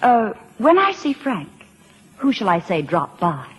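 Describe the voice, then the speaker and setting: Husky, animated sophisticated woman. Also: medium pitch, unhurried, heavily acted, low-quality recording. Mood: arch, curious. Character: cool, curious, sophisticated society woman. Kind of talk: 1940s radio acting.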